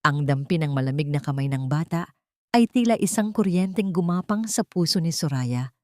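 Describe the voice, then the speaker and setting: A woman narrating a scripted dramatic story in a measured, emotive storytelling manner. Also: sits low, animated, darkish tone, no echo, studio quality.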